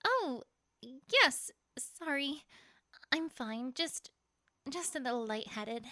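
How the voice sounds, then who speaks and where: A woman's voice: high voice, very animated, takes it slowly, sharp tone, no room sound, clean studio sound, heavily acted. A woman voicing an anxious young adult female pony, hesitant and apologetic, in a storybook audio drama. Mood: flustered.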